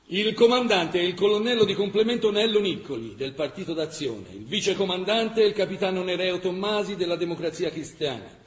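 An actor playing a solemn wartime chronicler, narrating a scripted radio play with deliberate, emphatic phrasing. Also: male voice, a little high, reverberant, a little hiss or hum, lightly acted.

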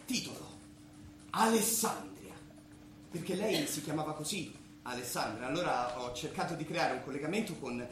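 An actor playing a bashful, pretentious young amateur poet in a stage comedy, halting and self-conscious. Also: male voice, on the high side, animated, fairly bright tone. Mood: awkward, nervous. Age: young adult. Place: theatre stage. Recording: echoey theatre recording, faint noise.

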